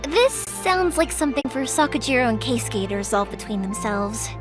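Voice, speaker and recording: A woman's voice: a little high, a little fast, over music, close to an everyday voice. A woman voicing a cheerful, caring young fireworks maker in a game cutscene. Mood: thoughtful. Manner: lively, caring, determined. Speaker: main character.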